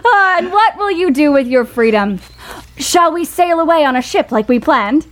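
Proud, spirited young woman writer: high-pitched, expressive, earnest, period radio-play style. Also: moderate pace. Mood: playful. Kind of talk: period audio drama acting.

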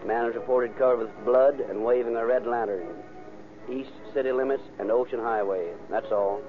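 An actor playing a police dispatcher reading a bulletin in a 1930s radio show. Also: male voice, medium pitch, fairly even intonation, moderate pace, lightly acted. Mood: businesslike. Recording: tinny old radio recording.

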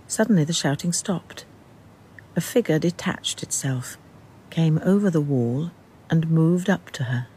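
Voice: mid-range voice, a little slow, dark tone, breathy edge, low-quality recording. A narrator telling a radio drama in scripted, dramatic storytelling style.

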